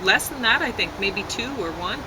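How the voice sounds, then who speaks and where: A woman's voice: lively intonation, normal speed. A woman chatting casually, hesitant and rambling, often trailing off mid-thought. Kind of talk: casual chat. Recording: constant traffic and outdoor rumble noise.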